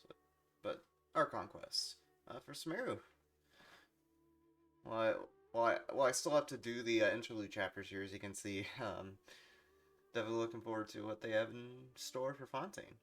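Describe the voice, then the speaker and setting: A man's voice: lowish voice, moderate pace, pauses often, fairly bright tone. A man giving casual, rambling livestream commentary as he explains the video games he plays. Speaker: video game streamer. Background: game music.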